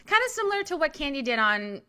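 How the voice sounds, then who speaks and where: A woman's voice: high voice, sing-song, fast talker, pushed and bright. A young woman co-hosting a casual podcast, giving opinionated, chatty reality TV commentary. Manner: chatty, opinionated, restarts and fillers. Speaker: podcast co-host. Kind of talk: casual reality TV gossip chat.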